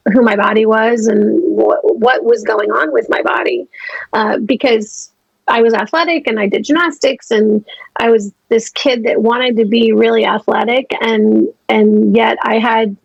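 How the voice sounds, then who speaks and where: A woman's voice: on the high side, vocal fry, no room sound, poor audio. A woman in her fifties, a podcast guest telling her story in a chatty way, full of likes and restarts. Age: 50s. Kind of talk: casual interview chat.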